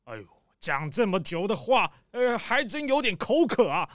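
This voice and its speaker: An actor playing a pompous, gluttonous rich male crocodile boss in a children's audio drama, showy, with drawn-out exclamations and sighs. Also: high voice, sing-song, a bit bright, dry recording, studio quality. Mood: pompous, boastful.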